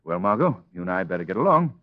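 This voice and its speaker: An actor playing a suave, wry amateur detective in an urbane, theatrical 1940s radio drama style. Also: male voice, sits low, very animated, fast talker, dry recording. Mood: smooth, amused. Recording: dull old radio drama recording.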